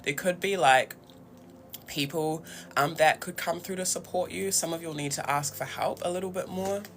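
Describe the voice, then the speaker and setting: A man with a New Zealand accent giving a casual, conversational tarot reading in a reflective, halting way. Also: a little high, a bit bright, a little hiss or hum. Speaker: tarot reader and host.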